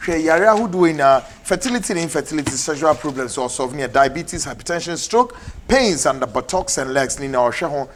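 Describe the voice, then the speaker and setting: A man with a Ghanaian English accent hosting a TV talk show, mixing English and Twi in brisk announcements. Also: on the high side, lively intonation, slight background noise. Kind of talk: TV political talk show, closing with ads. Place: TV studio.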